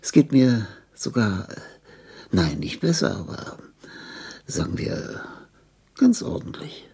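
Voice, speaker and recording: An actor playing a dying old composer in a radio drama, bitter and grandiose, with a frail, gravelly voice and halting rants that break into cackles and whispers. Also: male voice, medium pitch, sing-song, dark tone, full character voice. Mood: weary, suspicious. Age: older.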